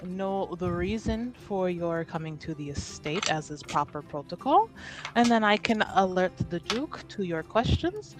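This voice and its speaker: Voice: mid-range voice, sing-song, unhurried. A player voicing a duke's personal attendant in a formal, probing, officious manner. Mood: polite, formal. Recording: music playing behind the voice.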